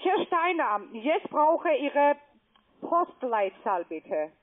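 A high-pitched woman talks slowly and haltingly, her grammar broken as she reads numbers back one by one. The sound is thin and boxy, the way a phone line sounds. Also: big pitch swings. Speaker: phone scam caller posing as bank agent. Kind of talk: recorded phone call.

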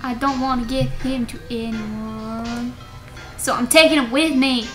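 A woman voicing a bitter, possessive, sorrowful ghostly mother in a horror game, with a dramatic, deliberate delivery. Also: on the high side, fairly even intonation, music in the background, close to an everyday voice. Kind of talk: dubbing dialogue of a horror game.

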